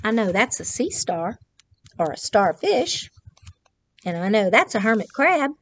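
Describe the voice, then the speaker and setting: Woman in her 60s, slow pace, very varied pitch, children's storytime reader, simple questions and explanations. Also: very animated, fairly bright tone, slight background noise. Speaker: children's librarian reading at storytime. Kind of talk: children's picture-book read-aloud with asides. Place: indoors, small decorated library room.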